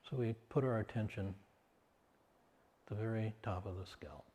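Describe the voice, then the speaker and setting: A man leading a guided meditation as its teacher, soothing and unhurried, speaking in short phrases with long pauses. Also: on the low side, dark tone. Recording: hall-like long reverb.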